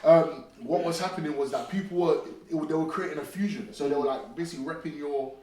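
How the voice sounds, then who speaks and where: A man's voice: on the high side, fast talker. A young man from the UK, a guest in a casual interview, talking emphatically, repeating points and checking that the listener follows. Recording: roomy echo, distant mic, faint noise.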